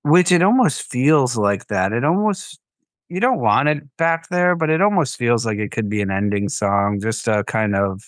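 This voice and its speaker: A man co-hosting a relaxed podcast, recorded close and dry. He thinks out loud in loose, meandering sentences and often trails off. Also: medium pitch, dry recording. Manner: musing and unhurried, trails off with fillers. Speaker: podcast co-host. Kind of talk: casual chat about an album.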